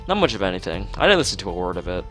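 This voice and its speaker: A man's voice: low voice, very animated, rapid-fire, a bit bright, soft background music. A man reading a visual novel aloud in an informal let's play, stumbling over words and restarting phrases, with off-the-cuff asides.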